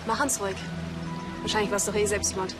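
An actress playing a defiant, defensive designer in a television crime comedy. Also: female voice, mid-range voice, level tone, fairly quick, loud music behind the voice, close to an everyday voice. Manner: curt, defensive. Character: defiant female designer under police suspicion.

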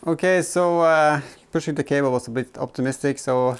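A man in his thirties giving casual, halting hands-on commentary as a vlog host, thinking aloud while he works. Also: on the high side, smooth. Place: inside a car in a workshop.

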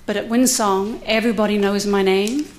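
A woman's voice: mid-range voice, steady tone, normal speed, a little hiss or hum. A middle-aged woman, speaking candidly and with light humor as a panelist at a university conference, at a podium microphone in a lecture room. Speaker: conference panelist, cohousing resident. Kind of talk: prepared talk at a conference.

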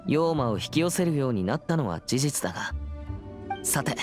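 A man's voice: mid-range voice, very animated, moderate pace, music in the background, natural voice. A man voicing a composed, earnest teenage boy exorcist in an anime game, speaking seriously and politely with a slightly stiff manner. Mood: calm, pondering. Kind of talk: anime game character acting.

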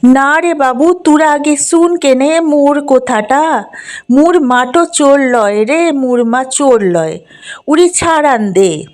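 A woman's voice: sits high, expressive, takes it slowly, a little mellow. Woman playing a pleading little village girl. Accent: rural western Bengal dialect. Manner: pleading, earnest.

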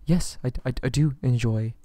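A man's voice: medium pitch, fairly quick, dark tone, no echo, lightly acted. A man playing a nervous, submissive, polite young prince held captive, stammering and pleading in an ASMR roleplay audio drama. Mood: panicked, pleading, then timid, appeasing.

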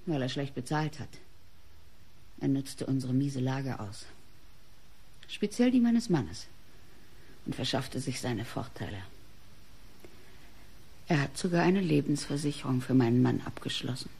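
An artist's wife in a radio crime drama, proud and embittered. She defends herself indignantly in a husky voice. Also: rumbling low, animated, unhurried, long pauses, darkish tone, full character voice. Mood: bitter. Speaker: actress in a radio crime play. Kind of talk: German radio play acting.

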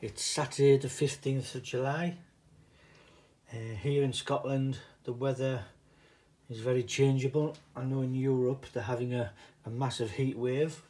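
A middle-aged man from the northeast of England speaks in a Teesside accent at a medium pitch. He hosts a casual home vlog without a script, wandering off the point and back again as he goes. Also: fairly even intonation, unhurried, dark tone. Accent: northeast English, Teesside. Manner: rambling, digressive. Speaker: video host, hobbyist coin stacker.

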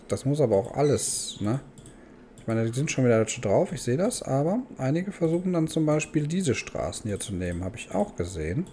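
A man's voice: mid-range voice, dark tone, slight background noise. A man giving casual let's play commentary, thinking aloud and muttering questions to himself. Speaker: gaming host.

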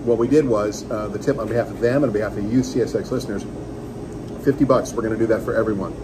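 A man's voice: on the low side, fairly even intonation, quick pace. An enthusiastic man in his forties talks through a meal, joking with a friend. He is recorded on a phone at the table of a small restaurant. A constant air-conditioning hum fills the background. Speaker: radio host. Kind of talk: casual restaurant review vlog. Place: small restaurant dining room, indoors. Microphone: phone camera on table.

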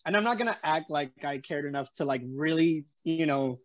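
A man's voice: a little high, normal speed. Man with a San Antonio Spanish-tinged drawl. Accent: San Antonio Texan with a slight Spanish tinge. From San Antonio, Texas. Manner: rambling, full of fillers and restarts. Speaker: interview guest. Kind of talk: casual storytelling chat. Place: room at home. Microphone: webcam on a video call.

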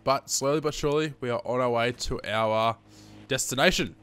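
An Australian man in his twenties hosts a gaming video with a middling-pitched voice. He chats casually to his viewers as he plays, swears freely and sounds slightly sniffly with hay fever. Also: normal speed, fairly bright tone, a little hiss or hum. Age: 20s. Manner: sweary, chatty, addressing viewers as guys. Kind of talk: casual gameplay commentary.

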